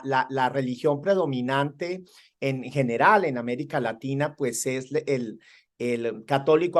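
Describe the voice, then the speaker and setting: A man from Colombia, hosting an interview, asking long questions with frequent restarts and emphatic rises. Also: medium pitch. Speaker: interview host.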